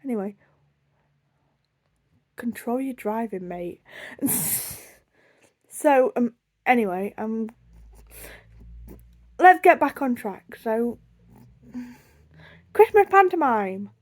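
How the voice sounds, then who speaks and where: Chatty, higher-voiced young British woman. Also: lively intonation, takes it slowly, long pauses. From United Kingdom. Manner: chatty, easily distracted, self-deprecating. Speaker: video blogger. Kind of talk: casual rambling storytime chat.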